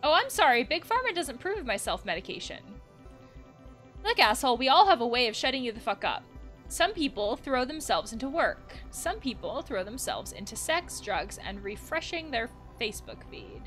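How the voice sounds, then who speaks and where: Defiant young video game protagonist, as a woman reads it, sarcastic edge, lively pitch, bright tone. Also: moderate pace, faint music behind. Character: anxious young person, defiant, fed up with fear.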